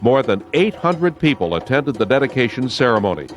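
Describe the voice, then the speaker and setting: Lively American male narrator, scripted newsreel read. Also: mid-range voice, a little fast, faint music behind.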